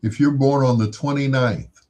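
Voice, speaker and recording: A man's voice: medium pitch, steady tone, moderate pace, warm tone. An American man hosting in a casual, rambling monologue, musing aloud and posing rhetorical questions. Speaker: radio-style host.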